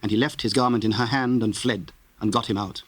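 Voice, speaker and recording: A man narrating scripture in a solemn, measured, formal way, reading from a script. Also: lowish voice, steady tone, slight background noise.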